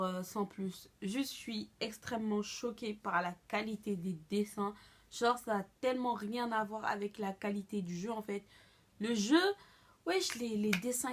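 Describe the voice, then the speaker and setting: A young woman vlogger giving a casual reaction in a slangy, exclamatory way. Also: fairly high pitch.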